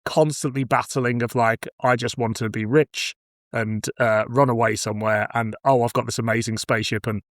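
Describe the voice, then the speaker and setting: A man's voice: medium pitch, steady tone, moderate pace, no room sound. Blunt, sweary man chatting, close and dry. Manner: blunt, sweary, acts out imagined thoughts in quotes. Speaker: podcast host. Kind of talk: casual podcast chat about cult sci-fi.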